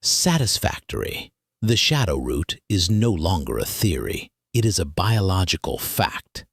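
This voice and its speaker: An actor playing a pompous, imperious master detective in a mystery audio drama, with formal, ornate, deliberate diction. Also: male voice, sits low, very animated, breathy edge, no echo, clean studio sound, full character voice. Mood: satisfied, commanding. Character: pompous, imperious male master detective, coolly precise and lecturing.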